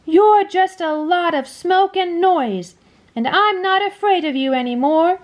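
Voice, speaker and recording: A woman's voice: very high voice, unhurried, pitched above the performer's own voice. A woman voicing an earnest, brave young boy who exclaims urgently in a storybook. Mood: defiant.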